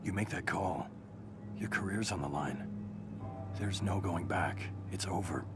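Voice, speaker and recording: Hard-boiled male cop, low gravelly voice, terse, brooding, video game drama, loud backing noise. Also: unhurried, over loud music. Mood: grim, determined. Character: gruff, brooding male rogue cop out for justice.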